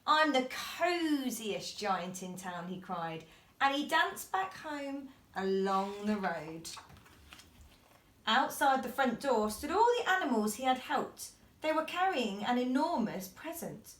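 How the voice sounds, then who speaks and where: Woman, high voice, very lively intonation, children's storyteller, expressive delivery. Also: a little slow. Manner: expressive, sing-song verses, whispered asides to young listeners. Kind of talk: animated bedtime story reading.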